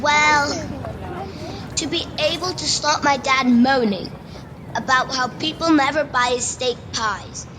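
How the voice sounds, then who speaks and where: An amateur actress with a Scottish accent playing a cheeky, daydreaming schoolboy in a radio play, eager and boastful. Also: female voice, sits high, takes it slowly. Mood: dreamy, earnest. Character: cheeky, daydreaming schoolboy, butcher's son under sixteen. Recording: noisy remote home recording.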